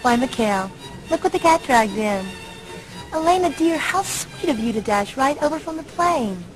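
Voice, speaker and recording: A woman's voice: sits high, animated, moderate pace, soft background music, rough recording. An actress playing a catty, condescending young society woman in a video game, with affected false sweetness. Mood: mock sweet. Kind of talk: video game dialogue acting.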